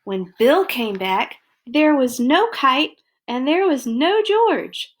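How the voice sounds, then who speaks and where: A woman reads a story aloud for children. Her voice is fairly high and her pace very slow. She narrates expressively, placing deliberate, dramatic emphasis on phrases. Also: expressive, slight background noise.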